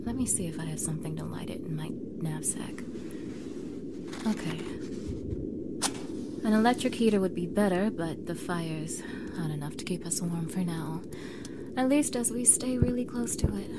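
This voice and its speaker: A woman's voice: on the low side, normal speed, long pauses, very noisy, lightly acted. A young woman playing a bossy, snippy mean girl in an acted audio roleplay, exasperated and sarcastic, speaking straight to the listener. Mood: calmer, subdued.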